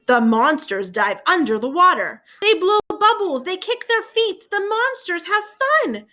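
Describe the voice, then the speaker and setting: A woman's voice: very high voice, big pitch swings, measured pace, sharp tone. A woman teacher reading aloud to young children, addressing them as friends and prompting them with questions. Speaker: elementary school teacher.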